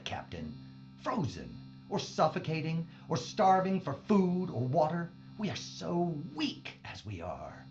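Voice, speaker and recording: A fanatical male mad scientist, acted by a man, grandiose and menacing. His theatrical delivery leaps all over in pitch, with faint music behind a muffled sci-fi audio drama recording. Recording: dull band-limited sound.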